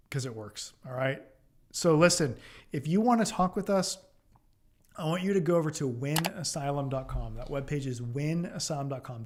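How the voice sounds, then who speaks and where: An American man from Oklahoma giving casual, direct advice to live-stream viewers as a lawyer, reassuring and repeating phrases for emphasis. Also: on the high side. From Oklahoma, United States. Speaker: immigration lawyer hosting a live Q&A.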